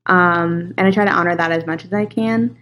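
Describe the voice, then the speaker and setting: A woman's voice: on the low side, brisk, fairly bright tone, lo-fi sound, a little hiss or hum. A young woman from California chatting as an interview guest, talking loosely with many fillers and frequent laughs. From California, USA. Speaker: casting and voice director, guest. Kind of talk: casual interview chat.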